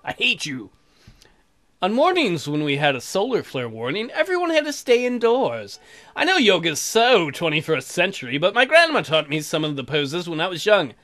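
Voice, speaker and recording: Foul-mouthed man, high animated voice, game commentary. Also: normal speed. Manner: crude, profane, sarcastic. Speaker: gaming video host. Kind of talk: casual let's play commentary, reading game text aloud. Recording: music behind the voice. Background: game music.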